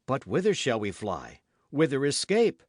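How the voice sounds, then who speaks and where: A man reading an audiobook as an anxious, guarded young gentlewoman who speaks in a formal, fretful, pleading way. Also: mid-range voice, huge pitch swings, unhurried, dry recording, clean studio sound, voice pushed up. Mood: frightened.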